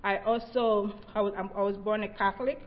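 A woman's voice: medium pitch, fairly even intonation, moderate pace, dull audio. A middle-aged woman from Tanzania, whose first languages are Haya and Swahili, giving an earnest, reflective research talk with frequent restarts. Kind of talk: presentation talk.

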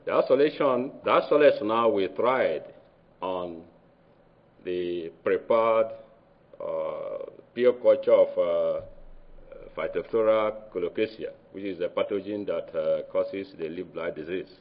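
A man with a Nigerian accent presents his research findings in a low voice. He pauses often and hesitates between phrases. The recording is dull and lo-fi. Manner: hesitant, many uh fillers, halting phrasing. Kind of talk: academic conference presentation.

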